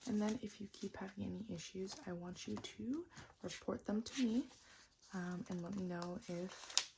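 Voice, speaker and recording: Soft whispery asmr lady, gentle n reassuring like a technician, lots of vocal fry, some bg noise and clicking. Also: mid-range voice. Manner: gentle, reassuring, unhurried clinician-like talk. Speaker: ASMR roleplayer as robot repair technician. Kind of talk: ASMR roleplay, mostly whispered and soft-spoken.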